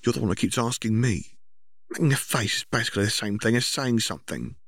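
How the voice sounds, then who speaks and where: Audiobook boy, male reader, resentful and defensive, raised pitch, dry sound. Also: lively intonation, fast, a bit bright, no room sound. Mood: resentful, indignant. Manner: defensive, indignant. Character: sullen, resentful young boy arguing with siblings.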